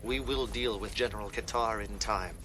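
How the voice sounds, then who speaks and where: A man acting a messianic cult leader in a video game cutscene, calm, calculating and grandiose, in measured, portentous phrases. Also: on the low side, natural voice. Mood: cool assurance. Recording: clear background ambient noise.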